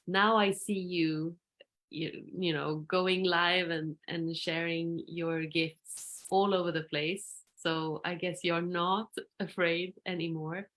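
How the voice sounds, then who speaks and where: A woman hosting a live spiritual interview, thoughtful and slow-spoken, with soft affirming murmurs. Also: lowish voice, fairly even intonation. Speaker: interview host.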